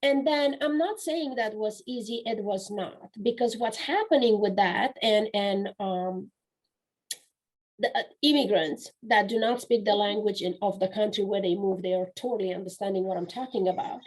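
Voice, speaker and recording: A woman's voice: mid-range voice, normal speed, rough recording. A woman in her forties with a Romanian accent, a non-native English speaker, talking earnestly and reflectively in a conversational interview, often restarting her sentences. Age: 40s. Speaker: guest speaker and coach.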